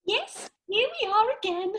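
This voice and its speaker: A woman's voice: very high voice, normal speed, fairly bright tone. A woman playing an airy, matter-of-fact fairy in a comic radio play, breezy and arch, recorded over a home video call. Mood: breezy, offhand.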